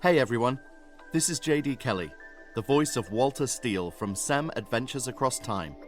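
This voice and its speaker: A man's voice: mid-range voice, expressive, moderate pace. A man, a voice actor, delivering a scripted promotional announcement to listeners. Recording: music underneath.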